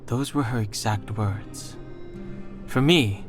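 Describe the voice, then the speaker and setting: A man playing a composed, analytical young man in a video game, measured and dry in delivery. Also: fairly low pitch, faint music behind. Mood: calm, reasoning. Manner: measured, matter-of-fact, dry.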